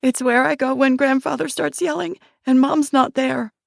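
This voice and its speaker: A woman's voice: sits high, fairly even intonation, moderate pace, vocal fry, dry recording, studio quality, pitched above the performer's own voice. A woman doing a terrified young woman reliving childhood fear. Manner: breathless, trembling recall in present tense.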